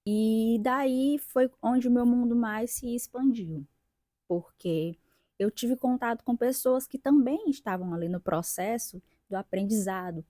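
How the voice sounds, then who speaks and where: A woman in her twenties from Brazil, an English teacher and linguist, talking about her own experience in a reflective vlog with pauses and restarts. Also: on the high side, warm tone, no room sound, studio quality. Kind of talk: casual personal vlog.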